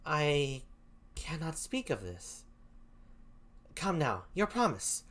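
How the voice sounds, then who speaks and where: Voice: medium pitch, sing-song, slow, fairly bright tone. A reader voicing Desdemona as an earnest, pleading young noblewoman in a play read aloud.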